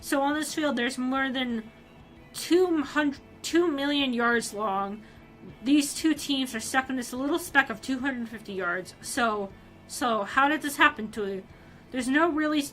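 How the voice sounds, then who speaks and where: A young woman reads a story aloud to her stream in a somewhat high, sharply bright voice. She keeps it animated and excitable, tripping over words and starting over as she goes. Soft music plays faintly behind her. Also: low-quality recording. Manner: animated, excitable delivery with frequent restarts.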